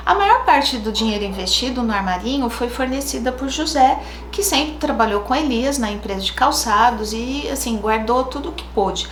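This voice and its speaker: A woman's voice: a little high, echoey room, a little hiss or hum. A woman with a Brazilian Portuguese accent hosting a true crime channel, telling the case in a casual, chatty way with spoken asides. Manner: chatty, thinks aloud with asides.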